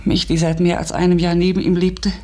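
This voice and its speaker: A woman playing an eager, curious, ambitious narrator in a literary radio play, earnest and reflective with a husky, breathy voice. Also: fairly low pitch, level tone, a little fast, warm tone, close to an everyday voice. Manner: earnest, reflective, politely hesitant.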